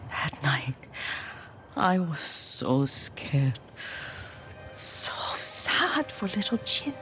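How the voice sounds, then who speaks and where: An actress playing a frail, devoted elderly Japanese woman servant in a game cutscene, speaking softly and breathily, gently and haltingly. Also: low voice, very animated, soft background music, exaggerated voice. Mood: tender, wistful. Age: older. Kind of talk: dramatic video game cutscene acting.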